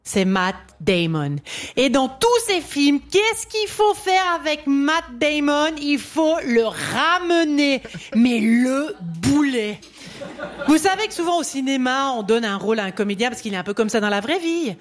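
A woman comedian telling a comic bit on a panel show, landing slow, punchy punchlines. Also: sits high, sing-song. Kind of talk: comic storytelling.

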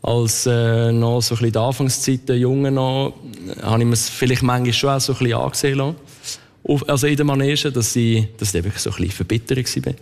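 Swiss german guy, calm and modest, talking it through, long reverb. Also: medium pitch, fast. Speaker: circus juggler guest. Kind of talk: interview chat.

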